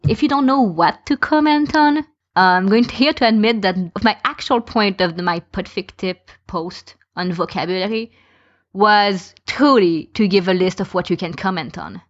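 A woman's voice: medium pitch, normal speed. A woman in a casual podcast discussion, giving her opinions and explaining them through lists of examples. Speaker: podcast speaker, fan podficker. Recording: dull lo-fi voice recording.

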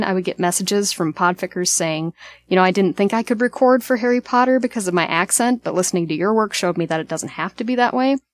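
A woman's voice: medium pitch, no room sound. A woman leaving a conversational voice message for a podcast, sharing reflective personal commentary in an earnest way.

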